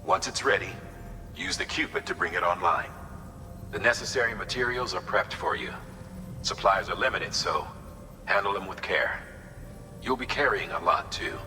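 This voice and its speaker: An actor playing an authoritative, solemn male commander in a video game, giving orders in a measured, formal way. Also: low voice, pushed and bright, a little hiss or hum. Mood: grave briefing. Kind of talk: video game cutscene acting. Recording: long hall reverb under music.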